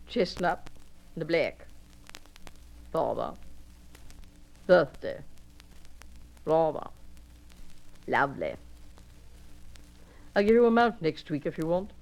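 A woman's voice: lowish voice, darkish tone, highs cut off. Woman doing a bored, clipped horsey lady. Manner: clipped, languid, offhand. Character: bored, horsey upper-class Englishwoman. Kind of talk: solo character monologue.

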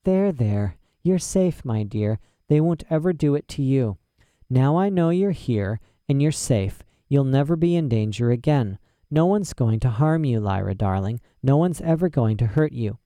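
A man voicing a grown woman who speaks softly and sweetly, soothing and coaxing a child. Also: a little high, moderate pace, no echo, pitched above the performer's own voice, natural voice. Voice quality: soft, murmuring. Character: gentle-seeming, falsely sweet grown woman.

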